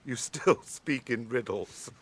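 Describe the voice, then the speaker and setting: An actor playing a noble, courteous warrior ranger in a radio drama, speaking in a formal, measured way. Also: male voice, medium pitch, animated, slightly rough. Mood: courteous, amazed.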